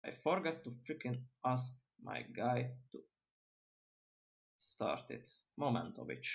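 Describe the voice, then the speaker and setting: Casual, halting male game master. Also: medium pitch, very animated, creaky. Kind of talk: casual tabletop game narration and rules talk.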